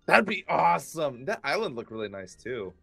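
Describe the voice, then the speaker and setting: A man's voice: a little high, big pitch swings, moderate pace, vocal fry, fairly bright tone, breathy edge, dry recording, faint music behind, full character voice. A man playing an earnest, protective, nerdy young inventor in a gaming roleplay. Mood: excited, reminiscing. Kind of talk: improvised gaming roleplay acting.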